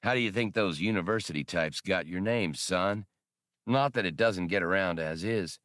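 A man voicing a sly, hearty old trooper with a gravelly voice and folksy talk in an audiobook. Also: low-pitched, moderate pace, a bit bright, dry recording, studio quality. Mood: sly, pleased. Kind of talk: audiobook character dialogue.

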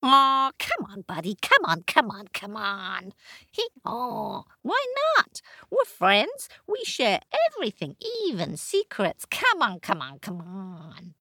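A woman voicing an excitable, chatty male donkey in a storybook, braying and pleading in high squeals. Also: slow, dry recording, clean studio sound, heavily acted. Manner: high-pitched brays, pleading, gleeful.

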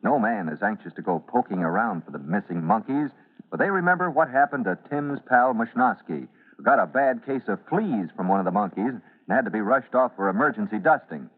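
A man's voice: fairly low pitch, animated, fairly quick. A man narrating a classic radio serial in a theatrical, emphatic announcer style. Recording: narrow vintage radio recording.

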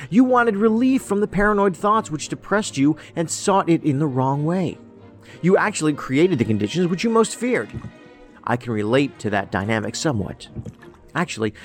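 A man playing a quirky, tactless federal agent in an audio drama, talking in a rambling, pedantic, matter-of-fact way. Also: a little high.

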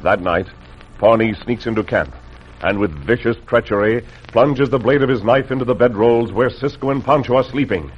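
A man's voice: medium pitch, normal speed, soft background music. A man narrating a radio adventure serial in a dramatic, scripted mid-century announcer style. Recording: muffled old radio broadcast.

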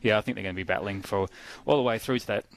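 An Australian man co-hosting a harness racing podcast, giving brisk, informative racing analysis. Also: on the low side, vocal fry.

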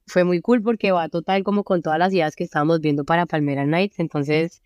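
A young woman with a Colombian Spanish accent speaks in a low, smooth voice. She talks in an easygoing way and leans on fillers as she thinks. The recording is close and dry. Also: brisk, dry recording. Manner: relaxed, many fillers like "o sea" and "como". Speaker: singer-songwriter guest. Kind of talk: casual interview chat. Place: friends' apartment.

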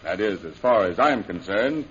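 An actor playing a smooth-talking, power-hungry villain in a 1930s radio serial. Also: male voice, on the low side, lively intonation, moderate pace, fairly bright tone. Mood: smug, wooing. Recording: muffled vintage 1930s radio recording.

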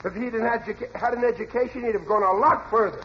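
High-voiced blustering radio dad. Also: male voice, fast talker. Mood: indignant, blustering. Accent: American. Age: middle-aged. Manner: stammering, repeating himself, ungrammatical. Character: blustering, uneducated, well-meaning working-class family father. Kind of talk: 1940s radio comedy acting. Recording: muffled, noisy old radio recording.

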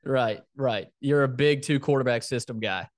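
A man hosts a casual sports podcast, previewing football in a conversational style. His voice sits at a medium pitch, and the sound is dry with very little room in it. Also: moderate pace, dry recording.

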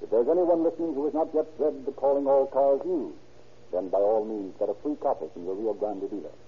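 A man narrating and announcing in formal, declamatory 1930s radio style, with a rough, grainy voice. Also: mid-range voice, brisk. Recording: muffled vintage 1930s radio recording.

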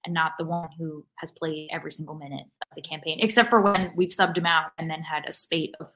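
A woman's voice: low voice, fairly even intonation, fairly quick, sharp tone, slight background noise, poor audio. A woman talking casually as a soccer fan on a podcast, in a questioning, frustrated manner. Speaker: podcast co-host, sports fan.

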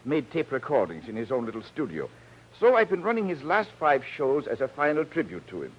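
Blustering American producer, very animated pitch, muffled old-radio sound. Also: male voice, brisk. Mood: worried, explaining. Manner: excitable, blustering, emphatic. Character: agitated, fast-talking male radio show producer. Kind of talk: 1940s radio drama acting. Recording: muffled old radio recording, faint noise.

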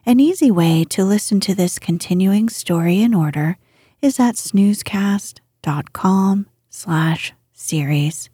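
Breathy woman softly reading a bedtime story. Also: medium pitch, unhurried, no room sound, studio quality. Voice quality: breathy, hushed. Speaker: podcast host and narrator.

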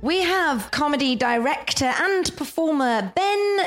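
A woman hosting a spoof radio show, leading her guests with brisk, pompous questions. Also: high voice, animated, soft background music. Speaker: host.

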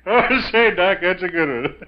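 A man's voice: high voice, full character voice. An actor playing a huge, jovial middle-aged man who chuckles heartily and talks breezily, in 1940s radio style on a muffled old recording.

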